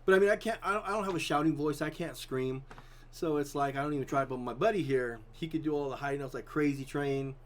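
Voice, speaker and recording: A man's voice: a little high, fast. Easygoing middle-aged man, voice drying out. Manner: easygoing, rambling storyteller. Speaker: guest. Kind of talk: casual chat.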